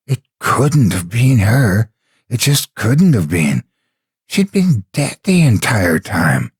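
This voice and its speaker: A man with a raspy, gravelly voice doing scripted horror storytelling in a theatrical, emphatic manner. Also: on the low side, darkish tone, dry recording, clean studio sound. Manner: theatrical, emphatic, drawn-out words. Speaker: horror story narrator and host. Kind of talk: dramatic scripted horror narration and promotional address.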